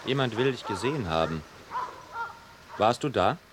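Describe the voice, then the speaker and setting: A man's voice: lowish voice, animated, moderate pace, background noise, natural voice. An actor playing a terse, menacing henchman who brings his boss's orders in a German radio drama. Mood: curt, threatening. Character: curt male henchman delivering boss's summons. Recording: outdoor drama scene with barking dogs. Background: dogs barking.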